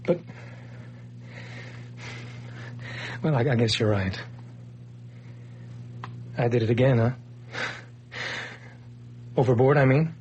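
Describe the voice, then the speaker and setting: A man's voice: medium pitch, a little slow, long pauses, darkish tone, muffled recording, close to an everyday voice. A man plays a nervous young man just back from a breakdown, pleading and jumpy by turns. His voice sits mid-range, like an old radio play. A faint hum runs under the recording. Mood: sheepish. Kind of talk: old-time radio drama acting.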